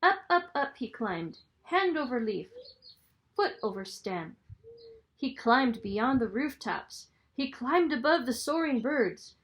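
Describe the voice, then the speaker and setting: A woman reading a children's story aloud in a dramatic, expressive storytelling manner. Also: high voice, slow. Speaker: storybook reader, school superintendent.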